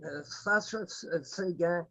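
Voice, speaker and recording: A man speaking earnestly on a video call, halting and restarting as he makes his case. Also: high-pitched, sharp tone. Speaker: webinar participant. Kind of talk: impassioned remarks on a video call. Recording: dull lo-fi call audio.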